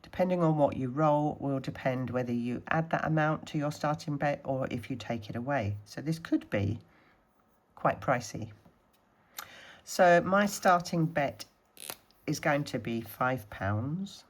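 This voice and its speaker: Snuffly low-voiced woman counting savings. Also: a little slow. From Scottish Highlands. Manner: chatty, counting money aloud. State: snuffly from a cold. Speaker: budgeting vlogger. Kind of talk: casual talk-through of savings challenges.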